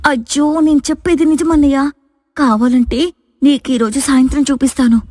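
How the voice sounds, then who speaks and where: A woman acts a suspicious, anxious young sister in a moral tale. Her delivery is urgent and insistent, her pitch fairly high. The recording is dry. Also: fairly even intonation, moderate pace, darkish tone, no echo, in a large hall, close to an everyday voice. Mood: alarmed.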